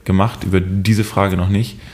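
Deep-voiced young man, slightly unclear speech. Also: level tone, moderate pace, darkish tone. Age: young adult. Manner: easygoing, laughs between answers. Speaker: podcast guest.

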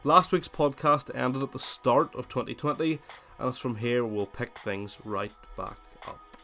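A man with a cold hosting his gaming podcast, chatting casually and then reading a scripted essay in steady narration. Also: medium pitch, unhurried, faint music behind. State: has a cold, voice slightly worn. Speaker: podcast host.

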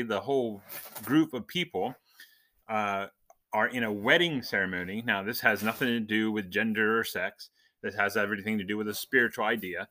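A man's voice: fairly low pitch, sharp tone. A man hosting a podcast, giving an informal, conversational Bible lesson, explaining and asking questions as he goes. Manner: conversational, explanatory, asks questions and answers them.

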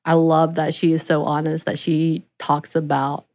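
A woman's voice: sits low, level tone, normal speed, darkish tone. A woman co-hosting a book podcast, speaking candidly and enthusiastically in a casual chat. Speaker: podcast co-host.

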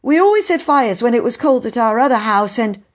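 Woman putting on a proud, animated young boy. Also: a little high, brisk, pitched above the performer's own voice. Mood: earnest. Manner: earnest, self-justifying, huffy. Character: proud, indignant young boy, earnest and stubborn. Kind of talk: storybook character voice.

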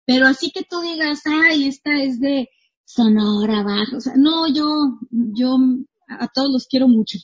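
Chatty husky-voiced woman in her thirties. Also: sits high, unhurried, muffled recording. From northwestern Mexico. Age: 30s. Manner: chatty, animated, laughs easily. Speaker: guest, marketing coach. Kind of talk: casual interview chat. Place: her home.

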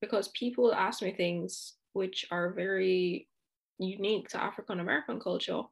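A woman's voice: medium pitch, steady tone, normal speed, creaky, husky. A woman from Jamaica, speaking as a student guest in an earnest, outspoken way with frequent asides. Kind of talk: candid conversational discussion.

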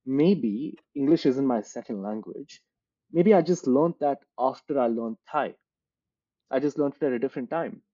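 A man from India, a career coach, giving thoughtful, measured interview answers built around examples. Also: medium pitch, warm tone, lo-fi sound.

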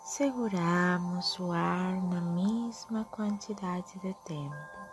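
A woman leading a guided meditation in a soft, soothing, often breathy manner with drawn-out phrases. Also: lowish voice. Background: soft music.

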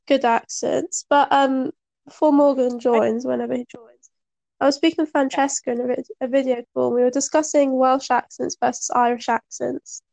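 A woman's voice: sits high, steady tone, moderate pace, creaky, darkish tone, rough recording. A young woman co-hosting a podcast, chatting casually and teasing her guest, laughing often. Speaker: podcast co-host.

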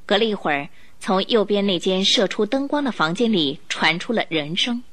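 Woman narrator with expressive, animated pitch. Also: normal speed. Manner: storytelling, expressive. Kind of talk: scripted radio drama narration.

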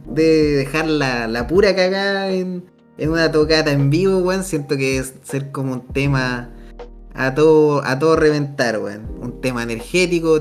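A young Chilean man talks music with a friend, bright and eager and heavy on local slang. His voice sits fairly high, and a little music plays faintly behind him. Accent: Chilean Spanish. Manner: enthusiastic, slangy, many fillers. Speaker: podcast co-host. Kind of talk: casual music chat.

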